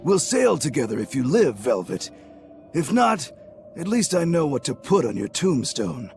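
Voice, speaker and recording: An actor playing a wry, sharp-tongued sailor with a gravelly voice and a blunt manner in a fantasy game. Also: male voice, fairly high pitch, sing-song, moderate pace, faint music behind. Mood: dry, sardonic. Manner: sardonic, blunt. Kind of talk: dubbed fantasy game acting.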